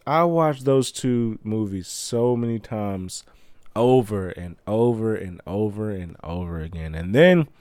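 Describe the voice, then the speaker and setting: Guy hosting his podcast, stuffed up from a cold, super excited, keeps restarting, dry close sound. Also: medium pitch, dry recording. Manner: rambling, excited, slangy with many restarts. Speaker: podcast host. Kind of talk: casual solo podcast chat.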